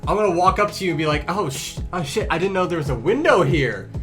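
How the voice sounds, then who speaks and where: A grumpy cartoon tomcat, voiced by a man, hosts a fan Q&A with needling sarcasm and casual swearing. His delivery is animated and swings freely in pitch, with some music behind him. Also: fast talker. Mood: exasperated, mocking. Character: grumpy male medicine cat, snarky, foul-mouthed teasing host. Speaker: host of a character question-and-answer show. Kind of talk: improvised in-character banter.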